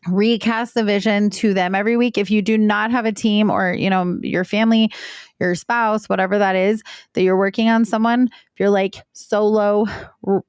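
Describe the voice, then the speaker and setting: A woman co-hosting a business leadership podcast with a pitchy voice, chatty and playful. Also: steady tone, normal speed, clean tone, no room sound, clean studio sound. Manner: playful, chatty, laughs easily. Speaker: podcast co-host. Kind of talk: casual podcast chat.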